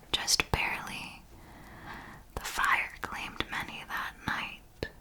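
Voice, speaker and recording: A woman playing an elegant, possessive ancient vampire mentor in a whispered ASMR roleplay, speaking in a deliberate, formal, endearing way. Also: fairly high pitch, poor audio. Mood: hushed, reminiscing.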